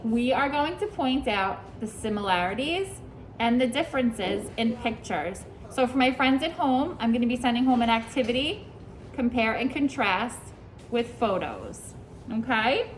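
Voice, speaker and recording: A woman teacher reads a picture book aloud to young children, pausing to ask them questions and praise their answers. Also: on the high side, lively intonation, a little hiss or hum, rough recording. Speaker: preschool teacher.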